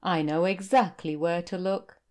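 Woman voicing a kind, slow-talking British storybook whale. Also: fairly low pitch, natural voice. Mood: confident. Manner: slow, deliberate, expressive. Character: kind, helpful big whale in a picture book.

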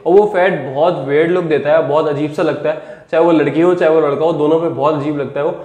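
A man's voice: fairly high pitch, steady tone, fast talker, a little mellow. A young man, a fitness trainer talking earnestly on a lapel mic and repeating his points for emphasis. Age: young adult. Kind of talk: home workout vlog. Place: small bare room indoors. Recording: echoey bare room.

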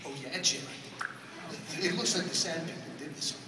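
A man's voice: on the high side, moderate pace, close to an everyday voice. A man playing an eager young farm boy hero for laughs in a live radio play. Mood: eager comic. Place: large convention hall, recorded from the audience. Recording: distant echoey hall, loud noise.

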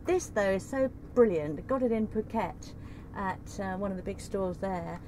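A woman's voice: medium pitch, lively intonation, normal speed, dark tone, slight background noise, low-quality recording. A middle-aged woman co-hosting a sailing vlog, talking emphatically and cheerfully about gear in a boat cockpit outdoors. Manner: bubbly, emphatic. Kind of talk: casual chat presenting gear. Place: cockpit of a sailboat at anchor, outdoors.

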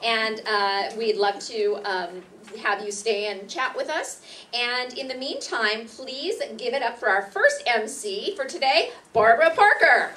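A woman's voice: a little high, animated, a little slow. A woman host and instructor giving welcoming announcements to a live audience in an indoor room. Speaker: acting instructor and event host. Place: meeting room stage, indoors. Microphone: far camera. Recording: distant camera mic, clear background noise.

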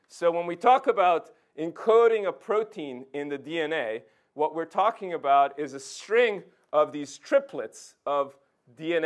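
A middle-aged man from Serbia gives an informal science lecture, conversationally checking in with his listeners as he explains. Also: fairly high pitch. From Serbia, former Yugoslavia. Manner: conversational, checks in with "okay" and "right". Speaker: physics professor giving a public lecture. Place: indoor lecture room, talking beside a projection screen.

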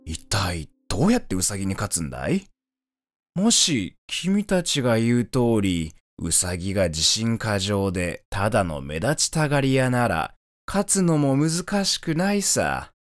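A man voicing a calm, confident male tortoise in a children's animated fairy tale, speaking in a measured, self-assured way. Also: on the low side, no room sound, clean studio sound.

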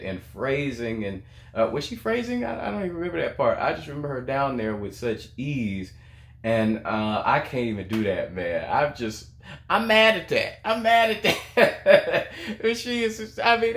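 A man's voice: mid-range voice, lively intonation, a little slow, a bit bright. A young man giving casual, emphatic commentary on music, often repeating words and phrases for effect. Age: young adult. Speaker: reaction video host, singer. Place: small room at home, indoors. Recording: echoey room.

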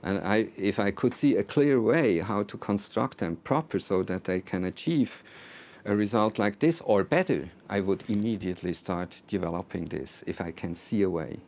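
Low gravelly older man giving technical talk. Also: normal speed, a little mellow. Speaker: loudspeaker designer presenting. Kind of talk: technical talk to a small audience. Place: small recording studio control room with seated audience.

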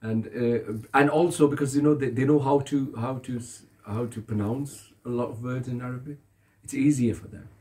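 Earnest man, dark mellow voice. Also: medium pitch, fairly even intonation, normal speed, few pauses. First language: Urdu. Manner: earnest, repeats phrases, asks "you understand me?". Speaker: religious elder giving advice. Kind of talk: casual chat in a gathering. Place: carpeted room in a house, seated on the floor.

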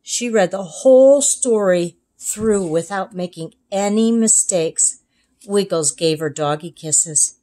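Slow, expressive woman reading a storybook. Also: medium pitch. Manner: expressive, clearly separated phrases for young children. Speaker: kindergarten teacher reading aloud. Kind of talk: storybook read-aloud narration.

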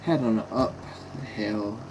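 A man's voice: on the low side, takes it slowly. A young man giving casual commentary over a game, chatting to viewers. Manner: chatty, addresses viewers as folks. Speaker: gaming YouTuber. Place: home room, facecam. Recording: background noise.

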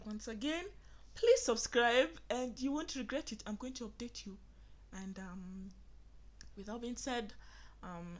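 A woman's voice: mid-range voice, slight background noise. A young woman with a Nigerian accent, chatting earnestly to viewers in a casual vlog from her bedroom. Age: young adult. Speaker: vlogger. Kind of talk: casual get-to-know-me vlog. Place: small bedroom indoors.

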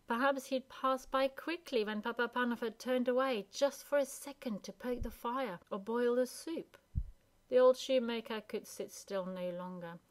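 A woman narrating a children's story as a scripted, expressive reading. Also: medium pitch. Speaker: storybook reader.

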